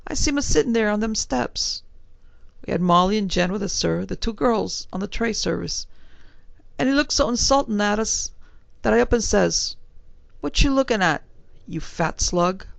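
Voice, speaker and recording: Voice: mid-range voice, fairly bright tone, dry recording, voice pushed up. A reader voicing a young male Cockney bellboy who drops his H's and keeps saying sir, blunt and uneasy. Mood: indignant. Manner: dropped H's, rough working-class speech, repeated sirs.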